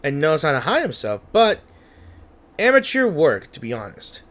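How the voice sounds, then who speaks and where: A man's voice: on the high side, wildly swooping pitch, moderate pace, fairly bright tone. A man giving casual, playful gameplay commentary as a gaming host, chatting and interjecting as he reacts.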